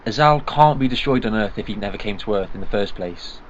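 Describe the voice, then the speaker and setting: A man's voice: on the low side, fairly quick, slight background noise, natural voice. A man playing an urgent alien time traveller. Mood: thinking. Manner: earnest, urgent, excitable. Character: eccentric male alien time traveller, brisk and commanding. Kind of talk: fan audio drama acting. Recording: slightly dull lo-fi sound.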